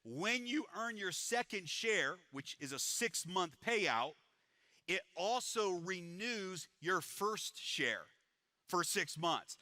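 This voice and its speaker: A man presents to a live audience with an energetic sales pitch, speaking in a slightly high, very bright voice. The sound is dry and close, with no room echo. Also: takes it slowly. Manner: energetic salesman pitch, repeats "it's powerful". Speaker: presenter at a business event.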